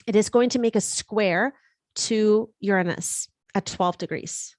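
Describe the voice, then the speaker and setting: A woman's voice: medium pitch, a little slow, a little mellow, dry recording. German-born woman giving an astrology forecast. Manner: conversational, frequent fillers and restarts. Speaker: astrologer host. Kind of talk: live-streamed astrology forecast.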